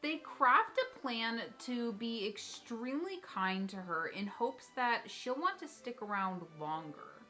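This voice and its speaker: Bright, high-voiced woman telling stories. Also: sing-song, slow, few pauses. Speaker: video host and storyteller. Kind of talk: casual storytelling, commentary. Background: background music.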